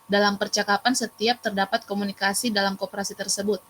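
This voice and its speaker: A young woman reads her part of a class presentation at a steady, even pace in a mid-range voice. A faint hum of room ambience sits behind her. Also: mid-range voice, fast talker. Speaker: student presenter and moderator.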